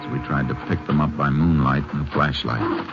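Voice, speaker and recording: An actor playing a steady, firm Texas Ranger in a radio western, terse and plain-spoken. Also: male voice, rumbling low, moderate pace, over loud music. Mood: calm, businesslike. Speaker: lead of the show, also narrating. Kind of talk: 1950s radio western acting and narration. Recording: muffled old radio recording, faint hiss.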